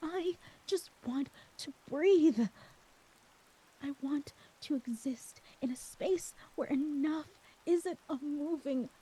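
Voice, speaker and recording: A woman performing an emotional ASMR monologue as an exhausted, anxious character, speaking softly and haltingly, often near a whisper. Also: sits high, rough recording. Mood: pained, pleading. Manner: slow, halting, vulnerable delivery. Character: exhausted, anxious woman crushed by others' expectations. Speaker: audio roleplay performer.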